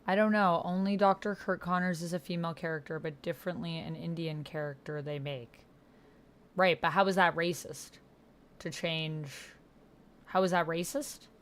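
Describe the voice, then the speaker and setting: A woman with a slightly low voice plays through a text-based roleplay as its host. She reads passages aloud in a casual way, with an expressive, reactive delivery. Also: normal speed. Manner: expressive, gasping and exclaiming reactions between read passages. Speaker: solo tabletop roleplay player and host.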